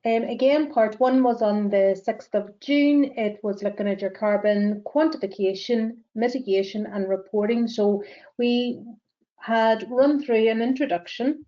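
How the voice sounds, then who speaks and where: A woman with a Northern Irish accent presenting a webinar as a greenhouse gas verifier, speaking in a measured way with frequent ums and uhs. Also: fairly high pitch, dark tone, muffled recording. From Northern Ireland.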